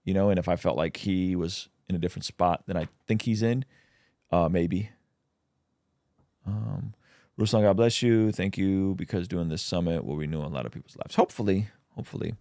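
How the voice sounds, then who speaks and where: Low-voiced guy on a livestream reading chat questions and answering, russian first language, dry close sound. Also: moderate pace, vocal fry, warm tone, no room sound. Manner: reads chat questions aloud and answers, with fillers.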